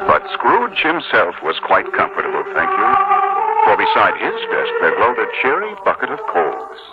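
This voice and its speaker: A man's voice: lowish voice, wildly swooping pitch, normal speed, heavy background noise. A man narrating a radio drama in a theatrical 1950s storytelling style. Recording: muffled 1950s radio recording.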